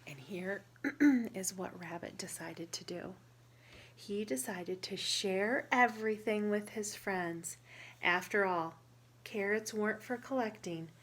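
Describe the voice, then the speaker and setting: A woman reading a picture book aloud to children in a teacherly way, pausing to ask questions and explain words simply. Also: medium pitch, a bit bright, husky.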